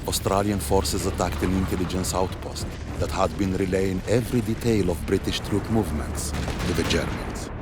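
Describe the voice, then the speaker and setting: A male actor plays a grieving storyteller looking back on a desert war. His voice is deep and grave, and he narrates in a deliberate, cinematic manner. Loud artillery and vehicle noise fills the background. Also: steady tone, natural voice. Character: solemn, grieving storyteller recalling the war in North Africa.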